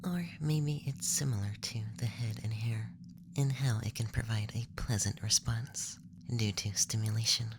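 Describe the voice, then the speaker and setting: A man playing a curious young alien examiner in a whispered ASMR roleplay, speaking in measured, scientific phrases close to the microphone. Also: lowish voice, noisy background, natural voice. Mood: curious, clinical.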